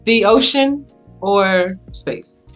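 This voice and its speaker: A woman's voice: medium pitch, extremely slow, faint music behind, low-quality recording. A woman in her late thirties hosting a podcast over a video call, asking questions in an easygoing way and laughing often. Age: 30s. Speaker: podcast host. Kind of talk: casual interview chat.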